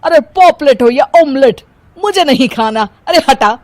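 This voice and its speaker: A talkative Punjabi mother-in-law from a cartoon story voiced by a woman, forever exasperated. Her voice sits fairly high in a dry, close recording. She scolds and complains with theatrical indignation. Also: expressive, a little slow, no echo. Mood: indignant complaining. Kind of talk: animated Hindi story dialogue.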